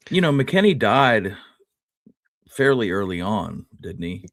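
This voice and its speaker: A man's voice: lowish voice, sing-song, slow, no echo, clean studio sound. A man co-hosting a history talk show, explaining and reasoning through points in a conversational way. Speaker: podcast co-host.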